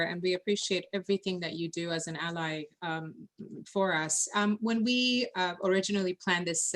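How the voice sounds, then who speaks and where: A woman's voice: fairly low pitch, fairly bright tone. A woman from Iran moderating an online meeting, speaking hesitantly with many fillers. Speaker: event moderator. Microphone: video call.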